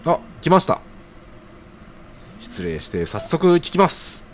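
Young man, played by an actor for audio drama: earnest, slangy, deferential, very lively intonation, slight background noise. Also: a little high, slow. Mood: eager. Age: young adult. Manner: casual, deferential junior's slangy speech. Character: earnest, hesitant young man unsure about marriage.

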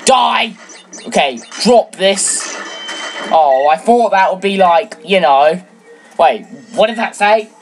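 A teenage girl whose first language is French, chatting excitably and rambling while playing a video game, with sudden shouts. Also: mid-range voice, takes it slowly. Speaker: let's play gamer. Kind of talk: casual game commentary. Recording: loud game music under voice.

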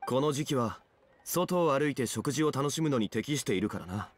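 Ageless gentleman scholar, game role, male actor: dignified, formal, measured, mid-range voice. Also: mid-range voice, lively intonation, a little hiss or hum, natural voice. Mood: calm greeting. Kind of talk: Japanese video game voice acting.

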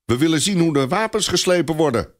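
A man voicing a small, eager, excitable boy in a storybook tale. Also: on the high side, quick pace, voice pushed up, lightly acted. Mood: bold. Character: small eager boy, brave, admiring, wanting to join in.

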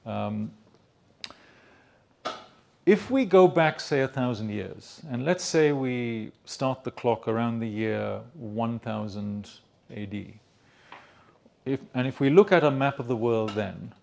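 An older man from Sri Lanka giving an academic lecture in a conference room into a handheld mic, measured, with many fillers and restarts. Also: mid-range voice, vocal fry, a little mellow, a little hiss or hum. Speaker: economics lecturer. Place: conference room with projector screen.

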